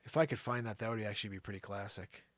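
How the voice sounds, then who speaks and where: A man's voice: on the low side, steady tone, quick pace, fairly bright tone, no room sound. A man hosting a live show with casual, slangy, rambling comedic commentary, often thinking aloud. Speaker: live variety show host.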